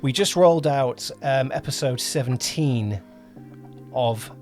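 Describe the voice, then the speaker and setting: A man's voice: medium pitch, slow pace, darkish tone. Man co-hosting, music behind him. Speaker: podcast co-host, audio drama producer. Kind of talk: casual podcast chat.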